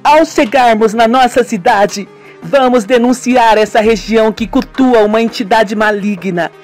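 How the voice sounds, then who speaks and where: A man voicing a furious, grieving young woman tourist who shouts defiantly, in an amateur radio novela. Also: on the high side, measured pace, soft background music, voice pushed up, natural voice. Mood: furious defiance.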